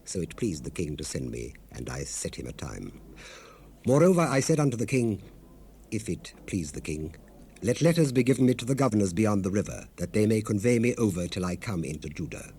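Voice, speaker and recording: Deep, solemn biblical cupbearer on cassette. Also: male voice, dark tone. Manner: solemn, fervent, measured, formal archaic delivery. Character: devout, grieving Old Testament royal cupbearer, earnest and humble. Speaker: actor in a dramatized Bible recording. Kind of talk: dramatized scripture reading, 1970s audio drama. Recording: noisy unprocessed cassette rip.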